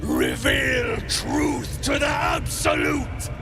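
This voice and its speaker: A huge hobgoblin priest with an extremely deep, gravelly voice. He is gruff, impatient and commanding, booming slow incantations in a fantasy game. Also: huge pitch swings, shouting, music in the background, full character voice.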